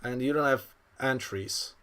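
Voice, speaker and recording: A man around forty giving live bridge commentary as a coach, thinking aloud in an analytical, unhurried way. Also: medium pitch, bright, forceful tone. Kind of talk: live card game commentary.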